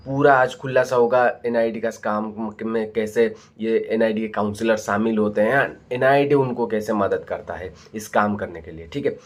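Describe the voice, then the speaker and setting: A man giving informal exposé commentary to viewers as a vlogger, often checking in with his listeners. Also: mid-range voice. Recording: dull lo-fi audio.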